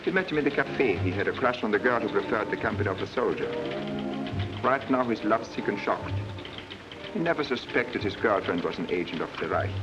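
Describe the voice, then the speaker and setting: An actor playing a gloating, contemptuous Nazi agent who narrates a 1940s propaganda film in a clipped, imperious manner. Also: male voice, mid-range voice, natural voice. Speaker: narrator of a military training film. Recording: muffled 1940s film soundtrack, music behind.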